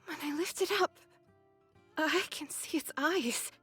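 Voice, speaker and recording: A woman's voice: high-pitched, measured pace, fairly bright tone, faint music behind. A woman voicing an anxious, earnest young heroine in an anime-style game. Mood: panicked, pleading.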